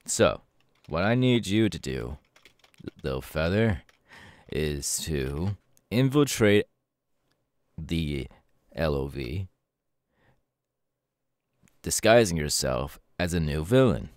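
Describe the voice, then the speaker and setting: A serious young hero mentor played by a man speaks in a low voice, earnest and measured. It is an intimate ASMR roleplay delivery, recorded very dry, as if instructing a trusted protégé. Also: very animated, no echo, heavily acted.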